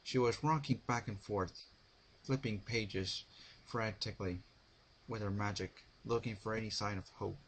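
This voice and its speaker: A man's voice: lowish voice, fairly even intonation, measured pace, slight background noise, poor audio. A man giving an amateur dramatic reading of a story, narrating between the characters' lines. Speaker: amateur reader of fan fiction.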